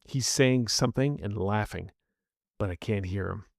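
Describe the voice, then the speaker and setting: A man's voice: on the low side, moderate pace, gravelly, no echo, studio quality. A man playing a battered, grieving master who narrates his own defeat in a grim, weary story voice. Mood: grim, pained. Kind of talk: first-person dramatic story narration.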